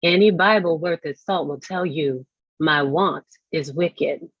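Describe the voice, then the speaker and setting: A woman reading poetry with measured, lingering phrasing. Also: sits low, slightly rough, no echo. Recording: dry but dull, lo-fi audio.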